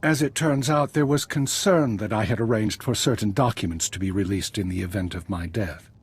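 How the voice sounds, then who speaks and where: Very husky retired spymaster. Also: male voice, fairly low pitch. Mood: wry, composed. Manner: measured, formal, understated. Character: retired male spymaster, composed, dry, calculating. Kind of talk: video game voice acting.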